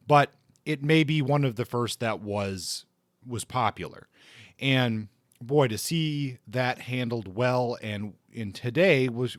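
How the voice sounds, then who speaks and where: A man hosting a casual book discussion podcast, speaking deliberately and repeating phrases for emphasis. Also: medium pitch, no room sound, studio quality. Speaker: podcast host.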